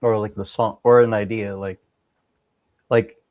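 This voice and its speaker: A man answering as a guest in a casual interview, halting and often repeating words mid-sentence. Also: on the low side, flat delivery, dry recording, phone-quality sound. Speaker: interview guest, amateur musician.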